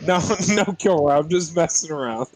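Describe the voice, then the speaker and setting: A man's voice: on the high side, moderate pace, no room sound, low-quality recording. A man playing a tabletop roleplaying game, chatting casually and bluntly as he says what his character does. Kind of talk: casual, excitable game chat.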